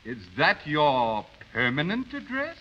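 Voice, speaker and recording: An actor playing a flustered, officious master of ceremonies in a 1930s radio comedy. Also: male voice, a little high, wildly swooping pitch, a little slow. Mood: officious, amused. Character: flustered, officious society party announcer. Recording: muffled vintage radio, noisy crowd behind.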